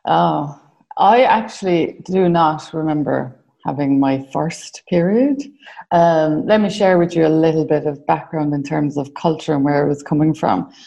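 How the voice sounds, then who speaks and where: A woman's voice: low-pitched, unhurried, creaky, warm tone. An Irish woman in her forties, a podcast guest, answering questions with frequent ums and restarts. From Ireland. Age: 40s. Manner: hesitant, many ums and restarts. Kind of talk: casual interview answers.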